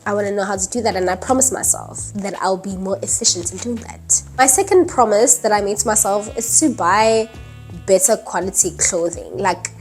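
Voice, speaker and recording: A young woman in her early twenties chats straight to camera in a relaxed vlog style. She fills her sentences with "um" and "like" and laughs lightly now and then. Faint music plays quietly behind her voice. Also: mid-range voice, normal speed. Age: early 20s. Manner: chatty, many fillers like "um" and "like", laughs lightly. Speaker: vlogger. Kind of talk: casual talking-head vlog. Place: indoors at night, dim lighting.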